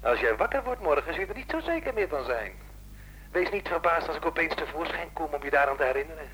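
A man's voice: on the high side, very animated, fast talker, fairly bright tone. A man acting in a radio play as a bitter, menacing caller who taunts and insists. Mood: menacing, taunting. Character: menacing, bitter man tormenting an old acquaintance by phone. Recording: noisy radio play recording, telephone-like.

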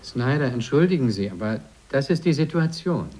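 An actor playing a calculating, persuasive media executive in a radio drama, measured yet insistent. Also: male voice, mid-range voice, animated, a little mellow, husky. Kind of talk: 1980s German radio drama acting.

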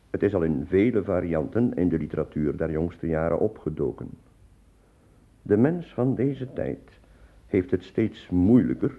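A man, a radio announcer, reading a scripted introduction in a measured, formal way. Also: low-pitched, dull audio.

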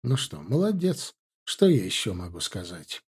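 A man's voice: on the low side, big pitch swings, moderate pace, a little mellow, breathy edge. A man reading a first-person story aloud as scripted audiobook narration. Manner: acts out dialogue with lifted, livelier delivery.

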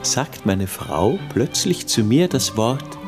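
Obsessive, brooding husband in a literary radio play: halting, very slow, music behind him. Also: male voice, medium pitch, very animated. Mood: brooding recollection. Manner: deliberate, halting phrases. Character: Konrad, brooding obsessive husband recalling his wife. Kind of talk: theatrical first-person radio drama narration.

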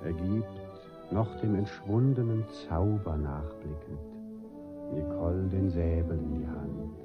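Low slow solemn guy narrating a 50s radio play, scripted, muffled old recording w/ music under it. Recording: dull 1950s radio recording, music behind. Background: orchestral music.

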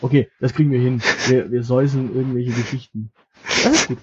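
A man's voice: mid-range voice, steady tone, fairly quick. Man on a muffled, noisy podcast recording. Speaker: podcast co-host. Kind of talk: casual banter, reading out a list of podcasts.

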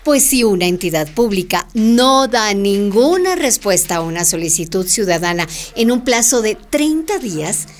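A woman acting a feisty, witty mayor in a radio drama, teasing and quick to retort. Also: medium pitch, sing-song, close to an everyday voice. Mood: confident, mocking.

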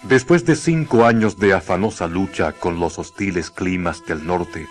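A man's voice: low-pitched, unhurried, faint music behind. A man narrating a radio drama in a solemn, literary, dramatic manner.

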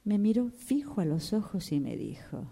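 An actress plays a gullible, superstitious Argentine woman in a radio play. She tells her troubles with dramatic emphasis, and her pitch swings in a lively way. She is quick to take offence. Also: slightly rough. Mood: confiding. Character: superstitious, gullible, indignant woman seeking refuge with her fortune-teller.

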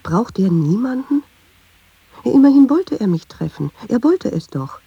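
An actress playing a brooding, persistent woman in a radio drama, speaking in an introspective, deliberate way. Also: a little high, big pitch swings, creaky, mellow, breathy edge, dry recording, heavily acted. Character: brooding, persistent, troubled woman who argues with herself. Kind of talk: radio drama monologue and dialogue. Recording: dull, hissy radio drama recording.

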